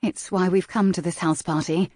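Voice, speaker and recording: A woman's voice: fairly low pitch, steady tone, moderate pace, warm tone, no room sound, clean studio sound, lightly acted. A woman voicing a timid, distraught young lady's companion in an audiobook, pleading and fretful. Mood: earnest.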